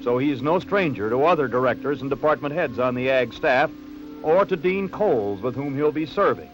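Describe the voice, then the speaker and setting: An American man reading scripted narration for a late-1960s newsreel in a measured, polished announcer delivery. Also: medium pitch, animated, low-quality recording. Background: background music.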